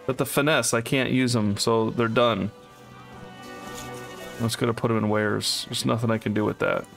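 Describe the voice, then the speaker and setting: A man with a gravelly, rough-edged voice talks through a co-op game in a relaxed, chatty way. He reads out item stats and jokes along as he plays, with faint game music under him. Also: medium pitch, moderate pace. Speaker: let's play co-host. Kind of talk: casual gaming chat.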